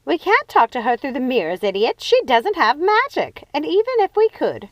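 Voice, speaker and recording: A woman's voice: high-pitched, very animated, normal speed, no echo, lo-fi sound, pitched above the performer's own voice. A woman voicing a scheming, cruel adult witch sister who bosses her sisters around and gloats. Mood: commanding. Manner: bossy, gloating, commanding.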